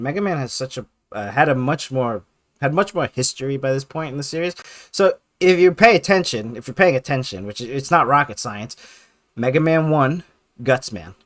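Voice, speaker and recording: A man giving casual, enthusiastic video game commentary in a gushing, excitable manner with emphatic repetition and swearing. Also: mid-range voice, no echo. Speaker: video creator presenting a top five list.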